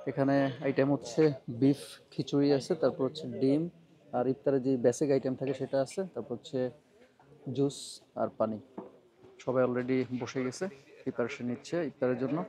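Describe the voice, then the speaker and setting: A man's voice: medium pitch, little pitch movement, brisk, warm tone, low-quality recording. A man with a Bangladeshi accent narrating a casual vlog informally, dropping English words into his talk. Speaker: vlogger.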